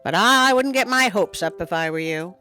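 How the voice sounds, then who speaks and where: A woman's voice: mid-range voice, lively intonation, moderate pace, bright, forceful tone, faint music behind. A woman voicing a patient but firm father wolf in a storybook, reasoning in a fatherly way. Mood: indulgent.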